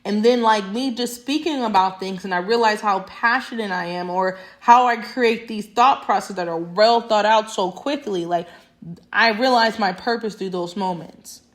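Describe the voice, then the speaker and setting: A woman's voice: mid-range voice, normal speed. A young woman talking straight to camera in a passionate, emphatic monologue that rambles with restarts. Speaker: vlogger.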